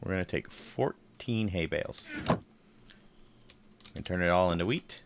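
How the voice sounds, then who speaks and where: A man with a low, slightly congested voice chatting casually while playing a game on stream and thinking aloud. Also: normal speed, a little hiss or hum. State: congested, throat tickle. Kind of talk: casual live gameplay commentary.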